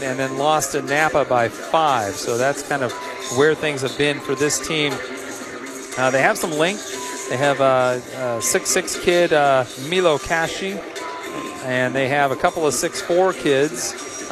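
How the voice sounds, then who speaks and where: A middle-aged American man introduces a basketball game, his voice a little hoarse. He rambles through the pregame notes with lots of fillers and restarts. Music plays clearly behind him. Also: on the high side. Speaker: play-by-play announcer. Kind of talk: pregame sports broadcast commentary. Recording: music in the background.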